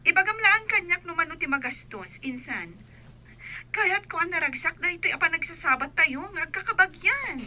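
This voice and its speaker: A woman playing a cheerful, generous cousin on a phone call in a radio drama, with a sweet, measured manner. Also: very high voice, very animated, bright, forceful tone, slight background noise, exaggerated voice. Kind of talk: Ilocano radio drama acting.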